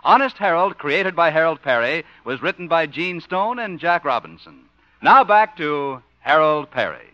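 Old radio announcer guy, 1950s style, high bright voice, kinda muffled recording. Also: animated, normal speed, no room sound.

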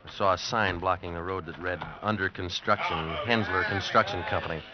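A man's voice: low-pitched, fairly even intonation, fairly quick, very noisy, natural voice. An actor playing a hard-luck American drifter who tells his story in a terse, brooding 1940s noir radio style. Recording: dull vintage radio recording. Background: dramatic music.

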